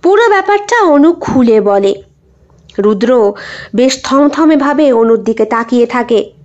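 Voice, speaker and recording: High-pitched woman storyteller. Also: animated, a little slow, a little mellow, muffled recording. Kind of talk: dramatic audio story narration.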